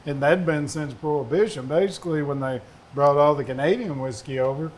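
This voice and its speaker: A man's voice: fairly high pitch, a little fast, a little mellow, slight background noise. A man with a Southern accent, a distiller on a panel, telling folksy, rambling anecdotes. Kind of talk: casual storytelling on a panel.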